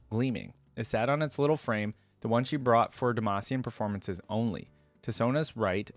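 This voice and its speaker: A man's voice: fairly low pitch, no echo. A young man hosting a reaction video, reading lore aloud and adding casual, chatty commentary into a close desktop microphone. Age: young adult.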